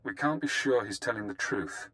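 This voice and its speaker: An actor playing a cold, calculating spy handler in a video game, speaking in a clinical, measured, detached way over music. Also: male voice, fairly low pitch, bright, forceful tone. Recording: music under the voice.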